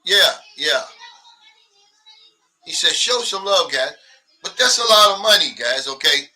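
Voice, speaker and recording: A man's voice: high voice, animated, a little slow, bright, forceful tone, far from the mic. A man in his sixties hosting a casual livestream, reading viewer comments aloud and answering them bluntly, sometimes mimicking others' complaints. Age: 60s. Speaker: livestream host.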